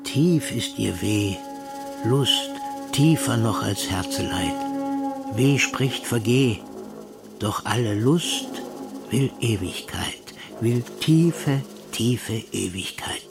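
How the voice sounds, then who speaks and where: A man reciting literary texts in a measured, declamatory and solemn way. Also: medium pitch, expressive, gravelly, music in the background.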